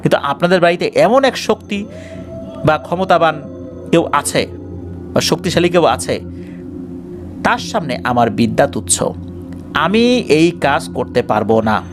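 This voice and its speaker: Wary, solemn village healer for a horror audio story, acted by a male narrator, fairly high voice over music. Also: expressive, unhurried, long pauses. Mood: solemn refusal. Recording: music bed behind the voice.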